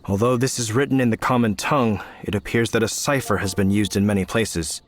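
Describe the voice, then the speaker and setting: Man as formal, low-voiced courteous knight. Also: fairly even intonation, fairly quick, natural voice. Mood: grave. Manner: formal, polite, earnest. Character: courteous, earnest young male knight officer. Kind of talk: video game voice acting.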